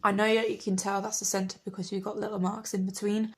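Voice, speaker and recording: A woman in her late twenties, croaky from a cold, chatting in a rambling, self-correcting way as she talks through her printmaking. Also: medium pitch, flat delivery, fairly quick. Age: late 20s. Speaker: artist and printmaker. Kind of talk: casual chat over studio work.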